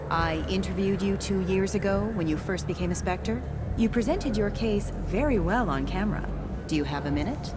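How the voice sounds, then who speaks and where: An actress playing a slick, pushy TV reporter in a video game, insistent and polished. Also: female voice, medium pitch, lively intonation, moderate pace, very noisy, natural voice. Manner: insistent, polished on-camera delivery.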